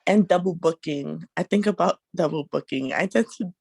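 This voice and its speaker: A young woman talks casually on a video call, dropping 'like' into nearly every sentence. Her voice is heavily gravelly with vocal fry and husky, and it sounds dry and close. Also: on the low side, normal speed, darkish tone, dry recording. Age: young adult. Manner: casual, full of likes, laughs easily. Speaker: college student participant.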